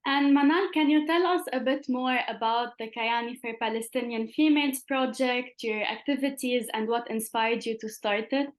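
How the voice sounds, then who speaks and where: A woman opens an interview and puts questions to her guest in a polite, formal manner. Her voice sits slightly high. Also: normal speed, hardly any pauses. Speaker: interviewer, host. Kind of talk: scripted interview introduction.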